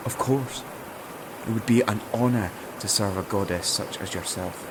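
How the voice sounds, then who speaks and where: A man's voice: lowish voice, moderate pace, noisy background, natural voice. A man playing a bold, courtly would-be king in a fantasy audio drama, with gallant, flowery, formal speech. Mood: earnest.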